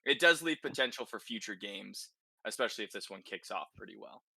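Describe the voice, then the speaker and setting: Very bright-voiced man chatting casually about games. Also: on the low side, expressive, fairly quick, gravelly, no echo. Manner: conversational, checks in with tag questions. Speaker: podcast host. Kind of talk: casual video game discussion.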